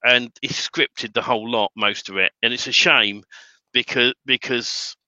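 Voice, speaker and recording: Bright-voiced British man, chatty. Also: mid-range voice, steady tone, measured pace, no echo. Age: middle-aged. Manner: chatty, repeats words when starting. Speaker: webinar guest, template trainer. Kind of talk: casual chat. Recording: slightly dull, lo-fi audio.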